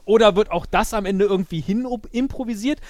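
Guy guest on a podcast, pretty high voice, rambly and self-mocking, dry recording. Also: fairly quick, a little mellow, dry recording. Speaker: podcast guest, improv audio-play player. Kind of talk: casual chat.

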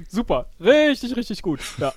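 A man in an excitable, casual debrief chat who acts out his remembered thoughts as he talks. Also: very high voice, husky, no echo. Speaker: podcast guest and improv player.

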